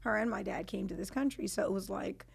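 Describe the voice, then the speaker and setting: A woman in her thirties with a thick Trinidadian Brooklyn accent. She has a strongly gravelly, fry-laden voice and tells her story in a lively, candid way. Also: mid-range voice, fairly quick. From Brooklyn, New York. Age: 30s. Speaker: guest. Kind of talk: casual chat.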